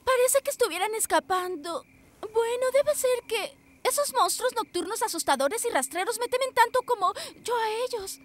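A woman voicing a timid but kind little girl in an expressive, earnest way for a children's cartoon. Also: very high voice, normal speed, slight background noise. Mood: relieved, friendly. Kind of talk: Spanish-dubbed children's cartoon acting. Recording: music behind the voice.

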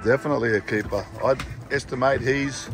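A man's voice: mid-range voice, fairly quick, over music. A man in his thirties with an Australian accent, cheerfully commenting on a fishing trip from a small boat, filmed on a phone. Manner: cheery, whoops loudly at each catch. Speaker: fishing vlogger filming from boat. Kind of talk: excited casual commentary while fishing. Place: small open motorboat on a bay, outdoors.

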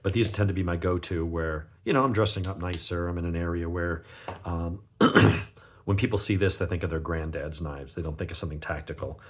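A man with a gravelly, sniffly voice from a cold, giving a casual unscripted product review in a rambling, conversational way. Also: low voice, steady tone, brisk, a little hiss or hum. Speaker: knife reviewer, channel host.

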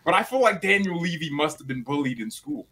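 A man's voice: on the high side, expressive, fairly quick, fairly bright tone. A young man in his twenties, a guest rival fan, bantering playfully and teasing on a video call from a small room at home. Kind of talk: casual banter.

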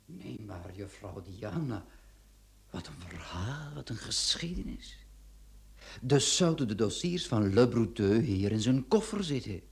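An actor playing a courteous, fussy notary in a radio play, speaking in a formal, musing, polite way. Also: male voice, on the low side, sing-song, unhurried, gravelly, darkish tone, full character voice. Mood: astonished. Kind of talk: Dutch-language radio drama acting.

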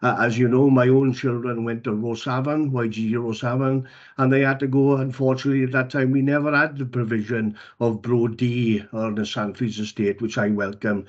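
A man's voice: medium pitch, fairly even intonation, brisk. A man, a councillor speaking plainly with many fillers at a council meeting. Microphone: video call. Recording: dull lo-fi video call audio.

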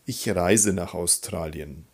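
Slow, low-voiced man teaching, slightly husky. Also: darkish tone. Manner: deliberate, instructional, repeats sentences. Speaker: German language teacher. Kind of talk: scripted grammar drill, reading example sentences.

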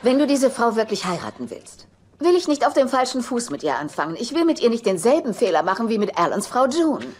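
A woman's voice: on the high side, very animated, fairly quick. An actress playing a sly, self-serving older grandmother and estate agent, haughty, blunt and theatrical, in dubbed sitcom dialogue. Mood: haughty, cutting. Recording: noisy TV soundtrack.

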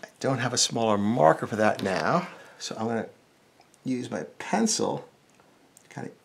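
A man's voice: mid-range voice, animated, moderate pace, vocal fry, slight background noise. A middle-aged American man giving a casual step-by-step drawing lesson, thinking aloud informally as he works. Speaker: cartoonist, drawing instructor.

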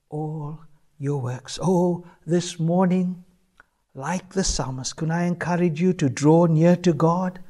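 A man's voice: sits high, dark tone. An older man, a pastor, preaching and reading scripture in an emphatic, exhorting manner with repeated phrases. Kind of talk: fervent sermon with scripture reading. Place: small church room, indoors, at a lectern.

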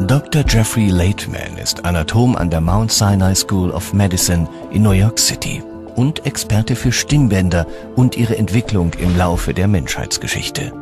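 A man with a fairly low voice narrates a documentary from a script. Music plays clearly behind him. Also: moderate pace.

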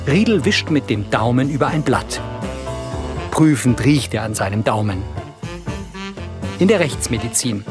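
A male narrator reads scripted scene descriptions for a crime series. His voice is medium in pitch, with music clearly playing behind it.

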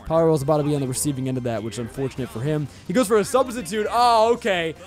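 A young man with a Southern US accent, rambling excitedly and casually as he commentates his Let's Play at home. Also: fairly high pitch, racing. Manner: chatty, rambling, excitable, says bro and yo. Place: home recording setup with webcam. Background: faint game music.

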